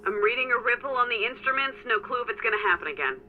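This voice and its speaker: A woman playing a cautious, no-nonsense technician in a science fiction audio drama, with a brisk, businesslike manner. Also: on the high side, animated, phone-quality sound, faint music behind, natural voice. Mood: tense, businesslike. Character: cautious, no-nonsense female technician monitoring a risky heist.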